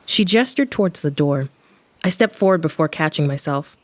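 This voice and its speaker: A woman's voice: low-pitched, expressive, moderate pace. A woman playing a wry, irreverent adventurer, narrating her story in the first person in a casual, sardonic way for an audio drama. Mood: cautious. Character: wry, irreverent female adventurer and occult investigator.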